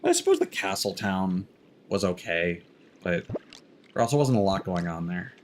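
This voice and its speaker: A man's voice: low-pitched, lively intonation, a little slow, a little hiss or hum. A man giving casual live gameplay commentary as a streamer, thinking aloud in loose, candid asides. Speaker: game streamer. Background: game music.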